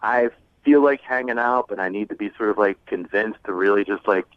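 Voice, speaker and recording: A man's voice: on the low side, fairly quick, smooth, dry recording. Casual man calling in, slightly muffled. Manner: jokey, informal. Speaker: caller. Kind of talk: casual anecdote telling. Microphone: phone. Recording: slightly dull phone line.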